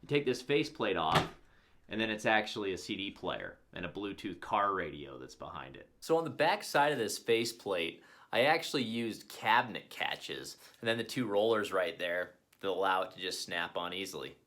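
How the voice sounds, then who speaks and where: A man's voice: low voice, big pitch swings, moderate pace, fairly bright tone. A man presenting a restoration project as host of a show-and-tell video, speaking in an upbeat, emphatic and enthusiastic way.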